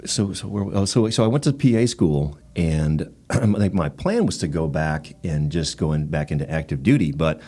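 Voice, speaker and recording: Middle aged guy, really low voice, chatty podcast guest, matter of fact storytelling. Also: expressive, moderate pace, dark tone. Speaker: podcast guest, former military physician assistant. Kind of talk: conversational storytelling.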